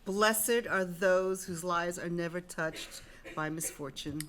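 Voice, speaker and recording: A woman reading as a solemn Greek tragedy chorus, warning of fate in a measured, declamatory way. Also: on the low side, a bit bright. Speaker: chorus member. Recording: noticeable background noise.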